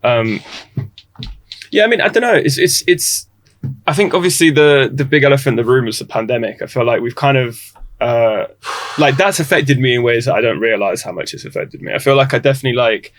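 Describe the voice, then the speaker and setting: A man in his twenties from Croatia talking as a podcast guest, reflective and halting, with frequent restarts. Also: mid-range voice. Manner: halting, restarts often, thoughtful. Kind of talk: reflective casual conversation.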